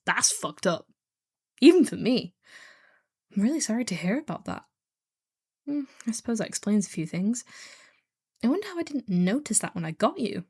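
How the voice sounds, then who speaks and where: A woman's voice: on the high side, sing-song, moderate pace, long pauses, a little mellow, husky, exaggerated voice. Female actor as heartless female kidnapper for hire, audio roleplay: bored, snarky, casual, with swooping intonation. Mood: taken aback. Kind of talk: scripted one-sided audio roleplay drama.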